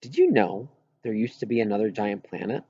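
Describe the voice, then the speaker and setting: A man reading an audiobook, voicing an earnest boy who eagerly explains things and has a quietly wistful streak. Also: fairly low pitch, very animated, brisk, slightly rough, no room sound, lo-fi sound. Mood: eager, explaining. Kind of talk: audiobook character dialogue.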